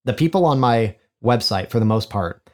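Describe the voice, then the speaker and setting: A man's voice: medium pitch, lively intonation, moderate pace. A man with a nasal, honky voice, talking as a podcast guest in a chatty, self-deprecating way, recorded on a studio condenser mic. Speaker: podcast guest, microphone designer. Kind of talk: casual interview chat. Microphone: studio condenser mic in figure-eight pattern.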